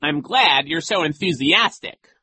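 A man's voice: fairly high pitch, lively intonation, pushed and bright, husky, no echo, voice pushed up, full character voice. A man voicing a menacing, impatient storybook villain posing as a coach, scratchy, condescending and commanding. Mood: sneering, smug. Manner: condescending, commanding, drawn-out words.

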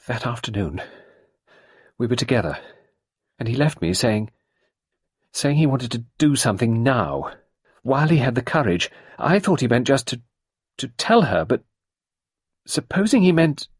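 A man's voice: mid-range voice, sing-song, unhurried, pauses often, husky, no echo, clean studio sound, voice pushed up. A man voicing an anxious, earnest young woman with a raised, pleading voice. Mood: desperate.

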